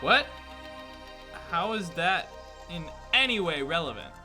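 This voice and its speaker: A man's voice: high-pitched, sing-song, takes it slowly, over music, heavily acted. A man playing a put-upon podcast narrator, delivering scripted narration. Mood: wounded, indignant. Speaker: narrator reading credits.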